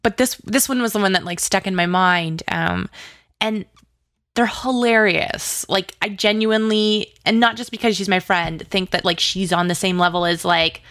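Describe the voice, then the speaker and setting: A woman speaks as a guest in a casual interview, with a medium-pitched voice and very little room sound. Her manner is enthusiastic and gushing, and she strings thoughts together with plenty of "like". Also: steady tone, moderate pace, a bit bright, no echo, studio quality. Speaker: interview guest, audio drama writer and producer.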